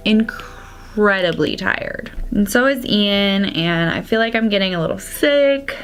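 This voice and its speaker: A young woman with a slightly congested, raspy voice chatting casually through a makeup tutorial. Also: medium pitch, measured pace. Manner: chatty, drops to soft whispers at times. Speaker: beauty vlogger.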